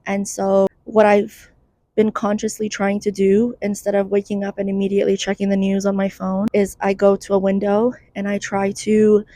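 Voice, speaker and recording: A woman's voice: medium pitch, monotone, normal speed, warm tone, no room sound. Candid woman giving mental health tips. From Iranian diaspora. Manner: candid, chatty, wry. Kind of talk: casual advice to camera.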